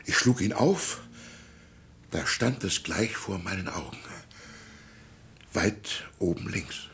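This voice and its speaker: An actor playing a frail, gentle old village pastor in a radio drama, anxious and musing, hesitant and sometimes sinking toward a whisper. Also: male voice, sits low, expressive, slow, gravelly, a little hiss or hum, exaggerated voice. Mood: troubled, reflective. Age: older. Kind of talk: German radio drama acting.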